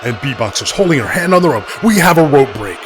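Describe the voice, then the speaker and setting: A man's voice: mid-range voice, very animated, fast, a crowd in the background, lightly acted. A man playing a hyped, slangy wrestling commentator who narrates each blow of the fight. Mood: focused. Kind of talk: sports commentary.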